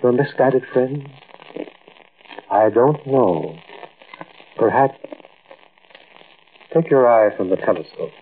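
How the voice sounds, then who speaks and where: An actor playing a stern, cryptic astronomer in a 1940s radio drama, commanding and deliberate. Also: male voice, mid-range voice, lively intonation. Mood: cryptic, ominous. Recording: muffled, noisy old radio recording.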